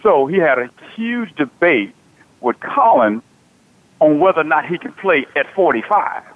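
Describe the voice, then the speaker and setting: A man's voice: fairly high pitch, big pitch swings, a little slow. A man in his forties hosting a sports talk radio show, talking casually and animatedly, often repeating himself. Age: 40s. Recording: tinny phone-like radio audio.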